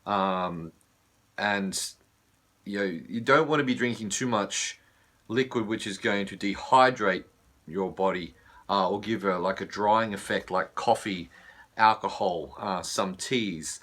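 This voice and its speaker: An Australian man, a vocal coach, talks through voice care in an easygoing teaching manner. His voice is husky from laryngitis yet sits fairly low, with a bright, forward edge. He fills his pauses with a steady "uh". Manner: relaxed, frequent filler "uh". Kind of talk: teaching video.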